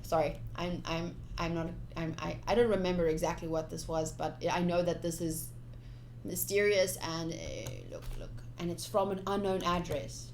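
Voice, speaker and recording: A woman streamer playfully reading visual novel text aloud, with casual asides in an expressive delivery. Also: on the low side, normal speed, a little hiss or hum. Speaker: game streamer. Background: game music.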